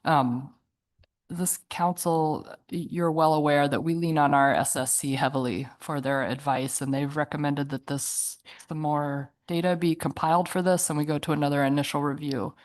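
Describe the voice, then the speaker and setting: A woman with a very low voice, sick, politely questions a testifier from the council table. Her words come measured and formal. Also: fairly even intonation. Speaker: council member. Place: council meeting room.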